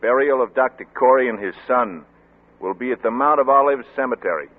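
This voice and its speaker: An actor playing a brisk, impersonal news announcer, loud and formal, in an old radio drama. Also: male voice, mid-range voice, very noisy, close to an everyday voice. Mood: solemn, urgent. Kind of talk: 1940s radio acting. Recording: narrow, muffled old radio recording.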